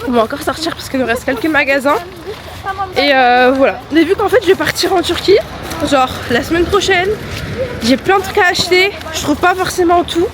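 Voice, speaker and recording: A woman's voice: sits high, brisk, crowd noise. A young woman with a head cold chatting casually to her phone camera for a vlog, upbeat and full of slang. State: congested with a cold. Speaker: vlogger. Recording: music playing under the voice.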